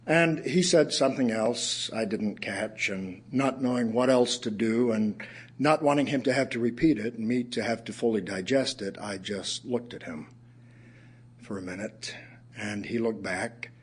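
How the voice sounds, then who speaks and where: An actor playing a weary, wry male writer, reciting poems and prose as a measured, literary storyteller in an audio play. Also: fairly low pitch, slightly rough. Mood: wry, subdued.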